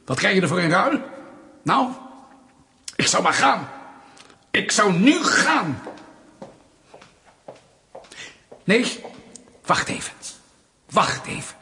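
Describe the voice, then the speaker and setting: An actor playing a patronizing, sardonic Soviet official in a radio drama, mock-polite and bullying. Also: male voice, on the high side, bright, forceful tone, slightly rough, exaggerated voice. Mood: irritated, dismissive. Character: menacing, condescending male Soviet state official, bureaucratic bully.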